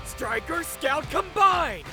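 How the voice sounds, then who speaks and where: A man's voice: very high voice, lively intonation, full character voice. An actor playing a cruel, hot-tempered young bully with superpowers in an audio drama, sneering and drawing out his taunts. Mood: furious. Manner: sneering, explosive, drawn-out shouted taunts. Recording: loud music underneath.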